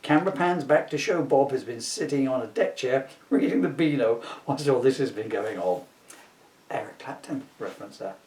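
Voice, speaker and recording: A man's voice: mid-range voice, normal speed, darkish tone. A man giving a comic scripted reading with wry, self-mocking asides. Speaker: author reading his own script. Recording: roomy echo, distant mic.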